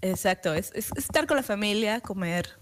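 A woman chats casually as a co-host. Her voice is of average pitch, and her delivery is informal and searching, with words repeated while she works out her thought. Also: lively intonation, moderate pace, a little hiss or hum. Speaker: talk show co-host. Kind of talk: casual conversational chat.

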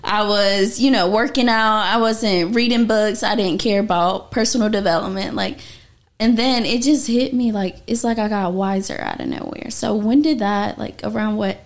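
A woman's voice: mid-range voice, normal speed. A woman in her twenties from North Carolina hosting a podcast, chatty and upbeat with casual slang. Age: 20s. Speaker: podcast host.

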